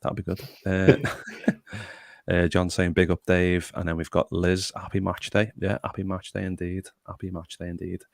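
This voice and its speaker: A man's voice: deep voice, completely flat delivery, moderate pace, creaky, no room sound, clean studio sound. A young man hosting a casual podcast chat and reading out viewer comments. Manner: chatty, reads out viewer comments. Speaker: podcast host.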